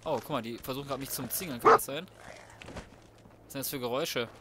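A man's voice: medium pitch, fast talker, very noisy. A man giving casual let's play commentary, thinking aloud in slang with some swearing. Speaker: gaming video host.

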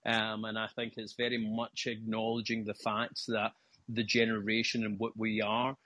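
A Scottish man in his thirties talks through an online call, his voice husky and the sound dry and close. He rambles enthusiastically and drops casual swear words. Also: on the low side, completely flat delivery, moderate pace, pushed and bright, no echo. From Scotland. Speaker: guest caller. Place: calling from home over an internet call.

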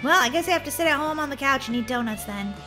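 An excitable, giggly woman hosting a gaming video with playful commentary and lots of exclamations. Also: sits high, fast. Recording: music under the voice. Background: music.

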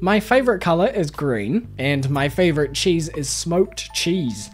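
A young New Zealand man with a fairly high voice and a lively, bouncing delivery. He reads a friendly, chatty voice-over from a close home mic, with faint music playing underneath. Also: unhurried. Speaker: game video creator. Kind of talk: scripted voice-over answering viewer questions.

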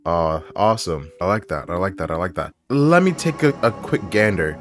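A man's voice: low voice, lively intonation, moderate pace. A man doing casual game commentary and reading aloud as a video host, close on the microphone. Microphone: microphone on a stand, close to the mouth. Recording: music playing behind the voice.

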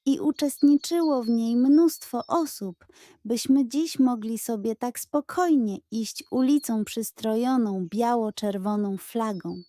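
A woman's voice: fairly high pitch, measured pace, dark tone, no echo, clean studio sound, natural voice. A woman voicing a kindly, patient old grandfather who explains things earnestly in a children's story. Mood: solemn, fond.

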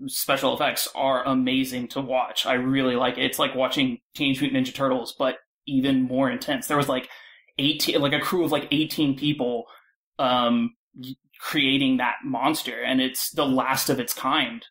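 A man talking casually as a panel guest, halting and peppering his speech with filler words. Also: medium pitch, level tone, fairly bright tone, poor audio. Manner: halting, filler-heavy, frequent "like". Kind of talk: casual discussion.

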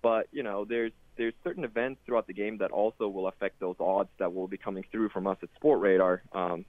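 A man's voice: fairly low pitch, steady tone, brisk, no echo. A man from Sweden, a guest in an interview, talking casually with many fillers and restarts. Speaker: guest, sports data product manager. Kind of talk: casual interview over a call. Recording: tinny phone-like line, dry.

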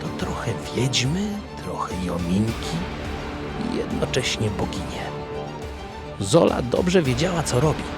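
A man narrating a scripted radio drama as a storyteller, in measured phrases. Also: mid-range voice, sing-song, over loud music.

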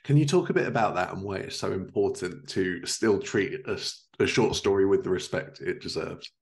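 A man's voice: low voice, animated, moderate pace, creaky. A man in his thirties hosting a conversational podcast interview from a living room over a video call.

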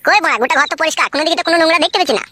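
A whiny cartoon husband from the village, put on by a voice performer, coarse and pleading. The voice sits high and races along at a very fast clip, nagging in broad comic style. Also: bright, forceful tone, a little hiss or hum. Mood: wheedling, impatient. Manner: nagging, wheedling, coarse-mouthed. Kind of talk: exaggerated comic cartoon dialogue.

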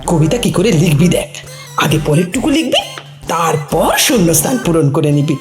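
A woman's voice: lowish voice, moderate pace, echoey room, faint music behind. A woman acting a sly, chatty friend in a comic audio play, with a teasing, recitation-like delivery. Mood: playful scheming. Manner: animated, teasing, breaks into mock recitation. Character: sly, chatty woman coaching her friend to fake being a poet.

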